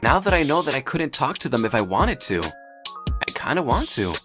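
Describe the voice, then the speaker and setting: A man's voice: mid-range voice, very animated, moderate pace, lightly acted. An actor playing a regretful young man, a former delivery boy, in a comic dub. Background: loud background music.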